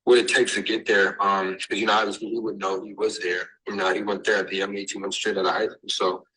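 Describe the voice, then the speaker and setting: A young man from Massachusetts, raised in Texas, answering interview questions as a basketball recruit, halting with many fillers and restarts. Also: fairly low pitch, level tone, sharp tone, low-quality recording. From born in Massachusetts, raised in Texas. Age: young adult.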